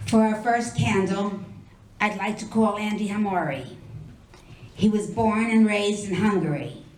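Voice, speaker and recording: A woman presenting a ceremony, calling people up in a measured way with short introductions. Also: mid-range voice, slight background noise. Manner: measured, announcing names and short bios. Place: hall at a memorial ceremony. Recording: echoey hall, mic at a distance, faint ambience.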